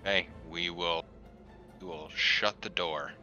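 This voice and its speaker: A man with a deep-pitched but very forward, bright voice plays a tabletop game. He talks casually with the group. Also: steady tone, takes it slowly, muffled recording, soft background music. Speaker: player in a tabletop RPG. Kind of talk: casual chat.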